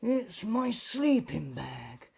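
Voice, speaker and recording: A man voicing a timid, tearful storybook fox in a plaintive, drawn-out way. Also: sits high, sing-song, gravelly, a little mellow, breathy edge, voice pushed up, full character voice. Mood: forlorn.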